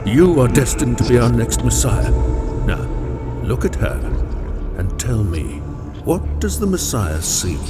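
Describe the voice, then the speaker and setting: An actor playing a stern, cruel old religious mentor, preaching and commanding in an audio drama. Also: male voice, medium pitch, very animated, measured pace, pauses often, loud music behind the voice. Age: older.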